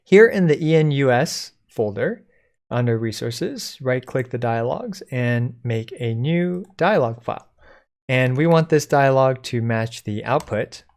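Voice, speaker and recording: A man presenting a code-along software tutorial in an informal, step-by-step explaining way. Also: medium pitch, expressive, unhurried. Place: indoors at a computer, screen recording.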